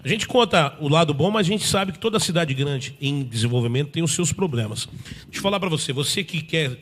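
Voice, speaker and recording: A man's voice: a little high, expressive, fairly quick, fairly bright tone. A man from Brazil hosting an interview, eager and upbeat as he reads sponsor plugs. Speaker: host.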